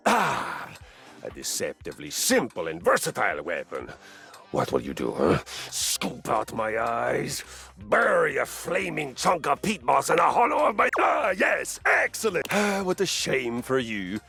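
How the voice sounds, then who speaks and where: Boastful warrior coach, booming and grandiose, gravelly swings. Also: male voice, wildly swooping pitch, measured pace, pushed and bright, faint music behind, heavily acted. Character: boastful swaggering male warrior trainer. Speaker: fitness coach. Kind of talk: game voice acting.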